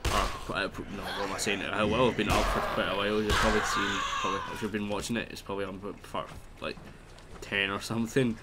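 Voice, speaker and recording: A man's voice: lowish voice, little pitch movement, quick pace, very noisy. Sniffly man rambling over gameplay. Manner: rambling, chatty, exclaims at the game. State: stuffy nose, sniffly. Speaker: let's play host. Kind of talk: casual gameplay commentary.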